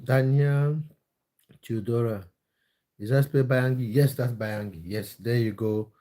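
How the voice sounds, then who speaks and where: Casting note: a man from Cameroon, livestream host, relaxed and warm in tone, reads out chat comments and answers them with lots of hesitation. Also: mid-range voice, measured pace. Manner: reads out viewer comments and answers them, with many fillers and repeats. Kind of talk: casual livestream chat about football.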